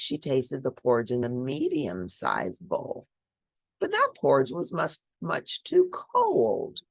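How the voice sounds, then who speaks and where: A woman's voice: fairly low pitch, vocal fry, no echo. A woman reading a picture book aloud to children, expressively, with dramatic emphasis and chatty asides. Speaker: children's storybook reader.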